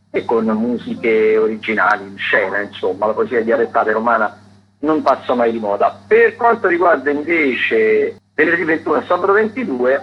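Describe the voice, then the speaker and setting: A man's voice: mid-range voice, breathy edge. Hesitant man on a dull radio link. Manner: hesitant, digressive, frequent self-corrections. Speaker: theatre critic, radio contributor. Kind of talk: unscripted events round-up.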